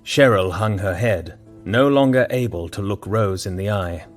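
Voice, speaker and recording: A man's voice: fairly low pitch, moderate pace, faint music behind. A man narrating a scripted audio drama as a dramatic storyteller.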